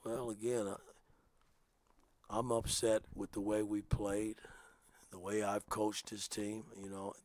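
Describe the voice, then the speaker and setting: Low flat-voiced older coach. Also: male voice, dry recording. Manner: measured, self-critical, plain-spoken. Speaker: basketball coach. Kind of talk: postgame press conference answers. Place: press room with sponsor backdrop. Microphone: table microphone.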